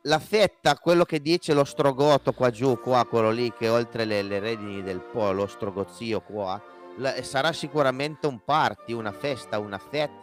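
A man's voice: medium pitch, soft background music, close to an everyday voice. A man playing a proud, grumbling nobleman in a comic radio play, with a pedantic, contrary manner. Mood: proud, lecturing. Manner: pedantic, contrary, drawn-out and haughty. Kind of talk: comedic costume radio drama.

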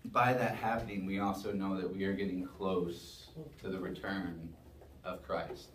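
A man leading a church service, reading scripture with measured emphasis and preaching. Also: deep voice, flat delivery, slight background noise. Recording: roomy sound from a distance.

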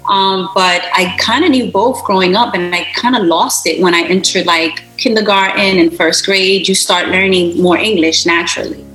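A woman's voice: on the low side, normal speed, hardly any pauses, sharp tone. A businesswoman from Brooklyn, answering interview questions in a chatty way with lots of fillers. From Brooklyn, New York. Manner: chatty, laughs easily, many fillers. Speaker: interview guest, business owner. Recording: roomy echo, faint music behind.

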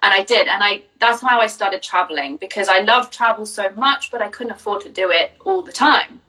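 A woman's voice: medium pitch, a little fast, pushed and bright, recorded from a distance, poor audio. A young woman from Jersey, a business coach, chatting openly in an interview and telling her story with plenty of fillers. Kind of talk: casual interview answers.

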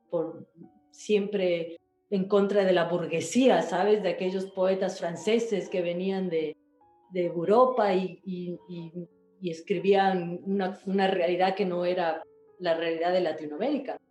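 Mellow, low-voiced woman chatting. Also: reverberant. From Ecuador. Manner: chatty, rambling, often checks with "¿no?" and "¿sabes?". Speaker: interview guest, writer. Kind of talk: casual conversational interview.

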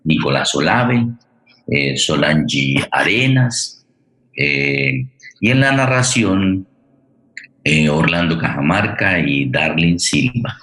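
A man hosting a radio theatre forum, speaking haltingly as he searches for words while presenting and giving thanks. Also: extremely low pitch, lively intonation, pushed and bright. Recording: roomy, echoey sound.

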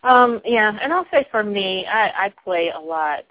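A soft-spoken woman talking reflectively in a casual group discussion, laughing now and then. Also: medium pitch, expressive, unhurried. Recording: tinny phone-like line.

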